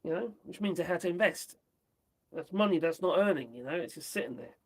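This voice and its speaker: A man from the UK, chatting his way through the news on a livestream, rambling and tossing in tag questions, his voice going hoarse. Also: sits high, animated, brisk. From United Kingdom. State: losing his voice. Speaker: livestream host. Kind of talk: casual commentary on news.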